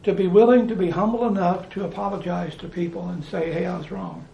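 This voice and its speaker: A man's voice: sits high, fairly even intonation, fairly quick, gravelly, reverberant, lo-fi sound, slight background noise. An American man preaching informally, talking in a conversational way, repeating phrases and restarting his sentences. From United States.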